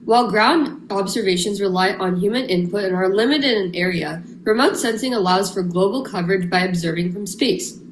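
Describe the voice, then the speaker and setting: A woman's voice: mid-range voice, brisk, few pauses. A teenage girl reads her part of a science presentation evenly from a script. The room rings with echo, and a faint hum sits underneath. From San Diego, California. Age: teens. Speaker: student presenter.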